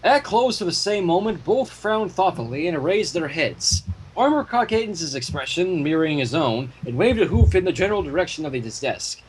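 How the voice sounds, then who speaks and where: A man narrating fan fiction as an expressive storyteller. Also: fairly high pitch, moderate pace, a little hiss or hum, low-quality recording.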